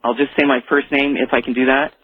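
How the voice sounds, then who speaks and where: A man's voice: mid-range voice, level tone, fast, breathy edge, dry recording. A man calling a radio show, speaking in a hesitant, rambling, casual way. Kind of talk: casual phone-in conversation. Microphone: telephone. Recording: tinny phone line.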